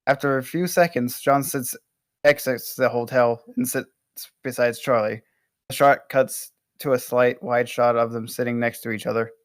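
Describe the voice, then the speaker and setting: A man narrating stage directions at an amateur table read, reading haltingly with small stumbles. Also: medium pitch, steady tone, no room sound.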